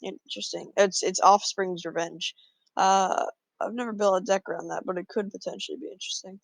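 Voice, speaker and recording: A man's voice: high voice, steady tone, a little fast, gravelly, dry recording. A man casually talking through his moves in a card game, thinking aloud with frequent fillers. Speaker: gameplay commentator.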